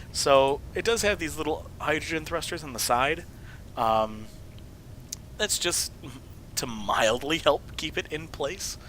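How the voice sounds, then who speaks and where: A man whose voice is mostly gone from a cold, hoarse and at times slipping into a whisper, yet still bright and edgy in tone. He rambles through a casual walkthrough, correcting himself and cracking jokes. A faint hiss of noise sits under the recording. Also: on the high side, lively intonation, slow pace, pauses often, rough recording. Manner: rambling, self-correcting, jokey. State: voice mostly gone from illness, sniffling. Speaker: video host showing game builds.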